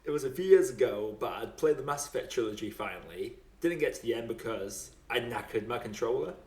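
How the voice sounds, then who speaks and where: A man's voice: medium pitch, animated, fairly quick, darkish tone. A British man chatting casually and informally as the host of a film podcast. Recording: roomy echo.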